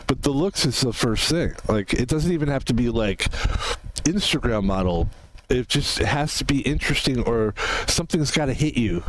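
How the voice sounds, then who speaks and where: A man's voice: medium pitch, animated, moderate pace, a little hiss or hum, rough recording. Rambling male gaming streamer. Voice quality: describes his own voice as soft. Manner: rambling, repeats himself, lots of "like" and "you know". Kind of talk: casual gameplay commentary.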